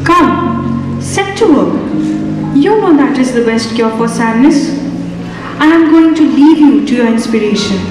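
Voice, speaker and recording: A young woman acting a kind, devoted wife in a school stage play, speaking gently and formally. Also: high-pitched, lively intonation, a little slow, over music. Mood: gentle. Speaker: student actor. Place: large auditorium stage. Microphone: far camera. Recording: echoey hall from far off, noise.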